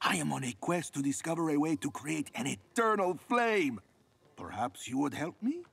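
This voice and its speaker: A man playing an eccentric, excitable fire-obsessed researcher in a video game, theatrical and eager, with a husky voice. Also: on the high side, moderate pace, full character voice. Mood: excited, eager. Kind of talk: video game character dialogue.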